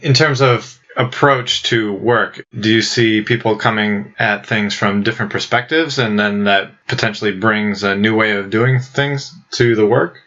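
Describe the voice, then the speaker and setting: Guy hosting a podcast, kinda low voice, muffled lo-fi sound. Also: a little slow, hardly any pauses, bright, forceful tone. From United States. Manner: conversational, asks long rambling questions. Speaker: podcast host. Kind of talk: podcast interviewing. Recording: dull lo-fi podcast audio.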